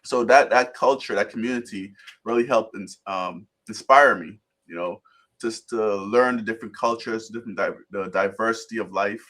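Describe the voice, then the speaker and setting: A man in his thirties from Guyana, a guest telling his life story on a video call, relaxed and rambling with restarts. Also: fairly low pitch, normal speed. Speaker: interview guest. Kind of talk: casual storytelling interview.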